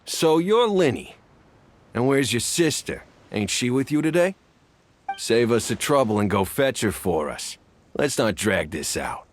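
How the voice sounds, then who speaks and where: A drawling street thug. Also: male voice, mid-range voice, big pitch swings, a little hiss or hum. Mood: menacing. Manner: slow, threatening drawl. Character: menacing street thug man, gruff and threatening.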